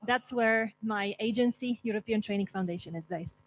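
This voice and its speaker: A woman's voice: medium pitch, normal speed, rough recording. A woman delegate from Italy giving a short, polite self-introduction at a conference.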